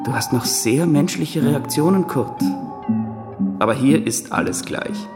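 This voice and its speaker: An actor in a radio drama playing a mad murderer speaking from beyond death, detached and fatalistic. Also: male voice, on the high side, lively intonation, measured pace. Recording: long reverb, guitar music behind.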